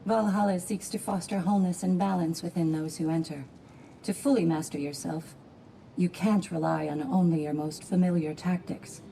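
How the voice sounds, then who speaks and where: A woman playing a wise, composed valkyrie guide in a video game, explaining in formal, measured, didactic phrases. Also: on the low side, steady tone, creaky, mellow, a little hiss or hum, low-quality recording. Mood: calm, instructive. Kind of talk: dramatic video game acting.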